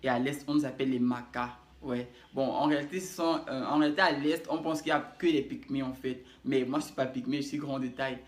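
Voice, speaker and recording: A man's voice: medium pitch, steady tone, fast, hardly any pauses. A young man from Cameroon with a Cameroonian French accent, introducing himself in a casual vlog, rambling and often repeating or correcting himself. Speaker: YouTuber. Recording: echoey room.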